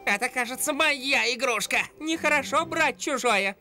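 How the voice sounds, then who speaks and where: A woman's voice: high-pitched, moderate pace, pushed and bright, faint music behind. A woman voicing a plucky, cheeky little male animal sidekick in a dubbed cartoon, feisty and boastful.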